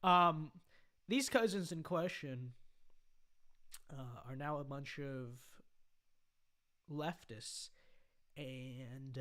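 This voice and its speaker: Young American man with bright, sharp voice. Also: medium pitch, clean tone. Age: young adult. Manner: halting delivery with repeated phrases. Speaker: livestream host. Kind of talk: casual livestream chat answering viewer comments.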